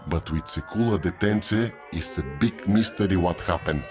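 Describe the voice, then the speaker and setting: An actor playing a gossipy tavern keeper who tells stories in a folksy, unhurried way in an audio drama. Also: male voice, sits low, lightly acted. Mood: grave storytelling. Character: gossipy male innkeeper at a moon tavern. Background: music.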